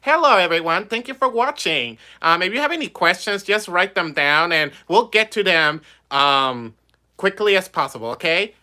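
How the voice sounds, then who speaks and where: A man's voice: high-pitched, expressive, normal speed, sharp tone, pitched above the performer's own voice, exaggerated voice. Man doing a polite, welcoming puppet. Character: polite puppet character, first creation.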